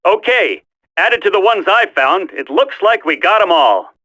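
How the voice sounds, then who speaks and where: An actor playing a cocky, wisecracking, flippant commando in a dramatic video game. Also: male voice, sits high, animated, measured pace, bright, forceful tone, full character voice. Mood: brisk.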